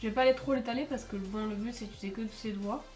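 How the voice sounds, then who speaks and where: A woman's voice: a little high, racing, a little mellow, roomy sound, soft background music. A teenage girl with a cold and a stuffy nose, chatting casually through a makeup tutorial with lots of fillers. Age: teens. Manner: chatty, rambling with many fillers. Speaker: beauty vlogger. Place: home.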